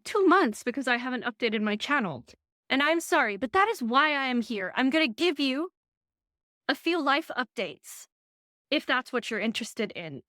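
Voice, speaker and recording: A young woman chats straight to camera from her living room, animated and full of asides. Her voice sits a little high and bright in a dry, close recording. She sounds like she is getting over an illness. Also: no room sound. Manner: chatty, animated, quick asides. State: recovering from illness. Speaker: vlogger and design lecturer. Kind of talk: chatty casual vlog update.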